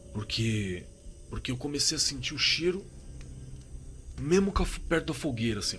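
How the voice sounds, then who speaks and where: Male actor as shaken Brazilian working man, bright forceful voice, halting colloquial horror testimony for audio drama, some background noise. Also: medium pitch. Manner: halting, repetitive, colloquial, trailing off. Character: shaken working-class man recalling a frightening camping night. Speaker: eyewitness telling his own horror story.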